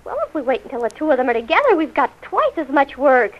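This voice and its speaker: High-voiced, complaining young radio-comedy daughter. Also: female voice, very animated, fast. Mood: determined, scheming. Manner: emphatic, complaining. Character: self-assured young daughter in a bickering family, scheming and wheedling. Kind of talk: old-time radio comedy serial acting. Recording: dull lo-fi vintage radio recording.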